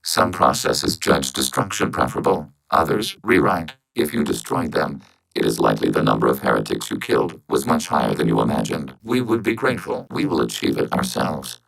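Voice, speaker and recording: A super deep gravelly robot soldier from a video game, calm n logical, clipped formal lines, synthetic processing. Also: male voice, fairly quick, full character voice, poor audio. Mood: calm, analytical. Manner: clipped, flat, formal, machine-like phrasing. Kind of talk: video game character voice acting.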